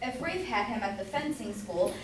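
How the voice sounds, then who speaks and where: A woman plays a bossy citizen husband in an old stage comedy, blustering with big swings of pitch. The voice is fairly high and comes from far off in a strongly echoing room. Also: expressive, moderate pace, close to an everyday voice. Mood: bossy, assured. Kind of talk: amateur staged reading of Jacobean comedy. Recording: distant echoey room, background hiss.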